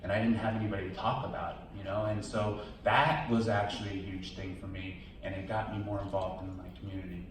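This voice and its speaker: A man's voice: deep voice, little pitch movement, brisk, hardly any pauses, a little hiss or hum. A man answering questions at a film premiere Q&A, talking candidly and casually and often quoting his own thoughts. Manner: candid, casual, quotes himself. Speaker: documentary subject. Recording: echoey hall, from far off.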